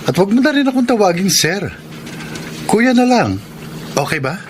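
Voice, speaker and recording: A man playing a courteous, smitten suitor in a Filipino radio romance, speaking gently and earnestly. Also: sits high, big pitch swings, normal speed, noisy background. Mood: reassuring. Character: courteous, smitten older-brother-like male suitor.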